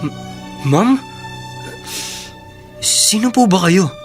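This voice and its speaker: A woman's voice: medium pitch, lively intonation, drawn-out words, over music. A woman playing a respectful, hesitant, bewildered young man in a Filipino radio drama. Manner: deferential, hesitant.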